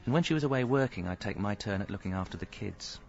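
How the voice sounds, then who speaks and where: Hapless, earnest husband and dad, low voice, dry wry radio comedy delivery, slightly muffled. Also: male voice, expressive, fast, creaky, soft background music. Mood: wry narrating. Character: hapless, earnest, self-deluding young father and husband. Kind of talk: radio comedy acting with wry first-person narration.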